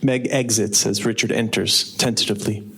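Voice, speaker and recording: A man's voice: fairly low pitch, steady tone, moderate pace, gravelly, bright, forceful tone, slightly rough, heavily acted. A man reading stage directions as the narrator of a live radio play.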